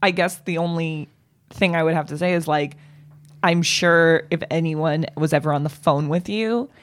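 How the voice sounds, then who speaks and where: A woman co-hosting a comedy podcast, chatting casually with a somewhat low voice and rambling through many fillers and restarts. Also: moderate pace. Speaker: podcast co-host.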